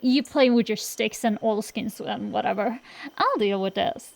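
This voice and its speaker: A woman's voice: mid-range voice, measured pace, vocal fry. A woman playing a cocky tsundere dragon-girl sorceress in an acted roleplay, bantering straight to the listener with sassy, slangy, defensive bluster. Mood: boastful.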